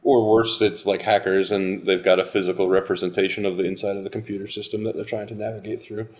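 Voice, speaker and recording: Low male voice, dry, wry, deadpan, casual podcast conversation. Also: fast talker.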